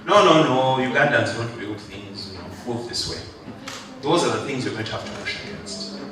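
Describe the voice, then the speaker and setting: A man's voice: mid-range voice, very animated, a little fast, bright, forceful tone. A man delivering a motivational graduation speech to an audience, rousing and joking, drawing responses from the crowd. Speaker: guest speaker. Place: large hall at a ceremony. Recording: echoey hall, mic far off, background noise.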